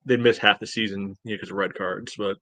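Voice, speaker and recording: Male sports podcast co-host, slightly low pitch, measured conversational analysis, very dry recording. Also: steady tone, bright, forceful tone, no room sound. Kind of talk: casual sports analysis chat.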